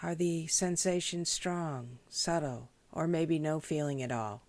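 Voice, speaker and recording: A woman leading a guided meditation as a teacher, speaking in a gentle, measured, instructional way. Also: low-pitched, dry recording. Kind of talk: guided body scan meditation.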